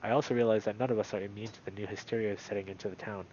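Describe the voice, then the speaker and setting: A man streaming a visual novel, moving between read-aloud narration and casual, animated commentary. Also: lowish voice, rapid-fire, muffled recording, slight background noise.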